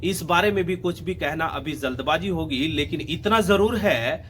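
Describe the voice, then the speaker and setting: A man's voice: fairly high pitch, normal speed, a bit bright, slight background noise. A man telling a true-crime story as a host, in a dramatic narration style with emphatic phrasing, addressing listeners directly.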